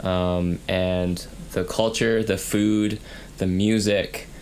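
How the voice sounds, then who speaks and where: A man's voice: low-pitched, flat delivery, a little mellow, slight background noise. A young man from Canada co-hosting a student podcast, talking casually with many fillers and restarts. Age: young adult. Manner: halting, many ums and likes. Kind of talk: casual conversation.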